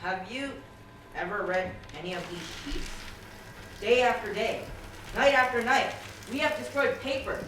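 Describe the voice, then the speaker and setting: A woman's voice: fairly high pitch, unhurried, pauses often, natural voice. Woman playing a restless questioning worker, echoing hall. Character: questioning, restless worker yearning beyond gray drudgery. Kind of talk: amateur stage one-act play, absurdist dialogue. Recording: very echoey hall, distant mic, faint noise.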